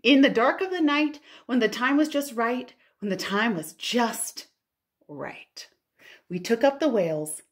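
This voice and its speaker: A woman in her thirties, a children's librarian, reads a picture book aloud and chats to young listeners with expressive wonder and drawn-out emphasis. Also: a little high. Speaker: children's librarian hosting storytime. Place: living room at home, indoors.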